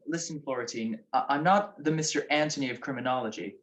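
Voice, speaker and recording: An actor playing a grumpy, wisecracking married gentleman sleuth with sardonic wit in a radio comedy-mystery. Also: medium pitch, brisk, close to an everyday voice. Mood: grouchy, sleepy.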